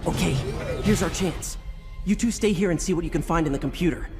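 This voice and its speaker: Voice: mid-range voice, brisk, over music, natural voice. An actor playing a confident, eager teenage boy hero in a video game, casual and decisive. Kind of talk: video game cutscene acting.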